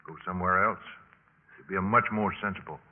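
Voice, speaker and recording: A man's voice: low voice, fairly quick, long reverb tail, a little hiss or hum, lightly acted. An actor playing a blunt, wry but reassuring young American man at college in 1950s radio drama style. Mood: firm, teasing. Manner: matter-of-fact, teasing. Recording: muffled, tinny 1950s radio recording.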